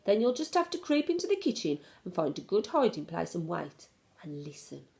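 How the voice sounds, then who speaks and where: A shrewd, doting old grandmother from a children's storybook, acted out by a woman reading aloud. She speaks emphatically, with swooping, animated intonation. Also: on the low side, normal speed, gravelly. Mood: cautioning.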